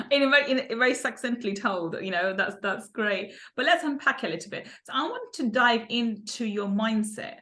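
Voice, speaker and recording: A woman's voice: fairly high pitch, animated, normal speed, sharp tone. A woman hosting a podcast interview, effusive and upbeat, often laughing as she introduces and questions her guest. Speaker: podcast host.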